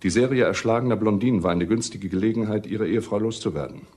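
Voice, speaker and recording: An actor playing a relentless, sardonic police detective in a crime radio drama, deliberate and accusing with dry irony. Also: male voice, on the low side, a little mellow, close to an everyday voice.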